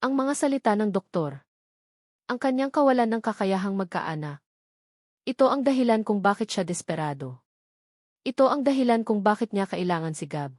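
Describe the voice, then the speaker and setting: A woman's voice: mid-range voice, expressive, moderate pace, pauses often, darkish tone, dry recording, studio quality. A woman with a Filipino accent reading a scripted, dramatic romance story as its narrator.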